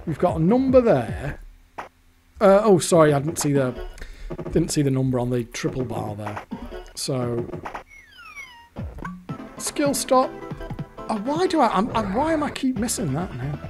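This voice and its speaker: Hoarse, lively British man ranting. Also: fairly high pitch, a little slow, long pauses, over music. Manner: rambling, exasperated, swears often. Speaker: video host. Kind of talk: live commentary while playing a fruit machine.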